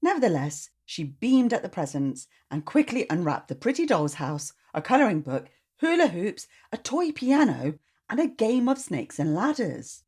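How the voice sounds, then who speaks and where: A woman reading a storybook aloud to children in an expressive narrating style. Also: mid-range voice, moderate pace, breathy edge.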